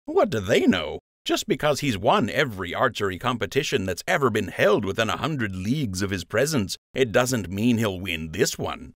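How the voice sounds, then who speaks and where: A man's voice: on the low side, sing-song, normal speed, hardly any pauses, dry recording, clean studio sound. A man voicing a teasing young male rabbit friend who ribs with a smirk. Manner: smirking, playful ribbing.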